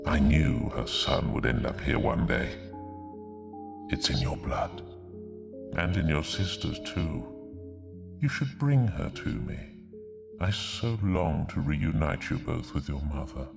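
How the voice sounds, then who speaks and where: A man's voice: extremely low pitch, lively intonation, takes it slowly, creaky, long pauses, heavily acted. A man playing a menacing video game villain who speaks in a sinister, mockingly formal way. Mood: sinister mock warmth. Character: menacing male villain running a deadly arena. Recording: music under the voice.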